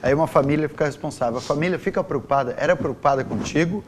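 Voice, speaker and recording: A man's voice: on the high side, rapid-fire. Male southern Brazilian interviewer, faint noise. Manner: inquisitive, rephrases and prompts the guest. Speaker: interviewer, host. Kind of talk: casual interview chat.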